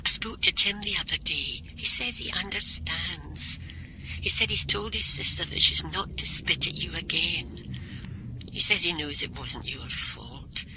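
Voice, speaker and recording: A pleading, anxious mother in a radio drama. Her voice is lowish yet extremely bright and thin, heard as a telephone voice over faint noise. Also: unhurried, exaggerated voice, low-quality recording. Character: pleading, worried mother of a grown daughter.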